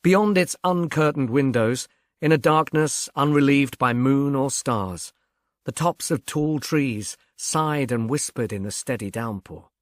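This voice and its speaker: Guy reading a novel out loud, medium pitch, very little room sound. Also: measured pace, no echo, clean studio sound. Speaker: audiobook narrator. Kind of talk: scripted novel narration with character voices.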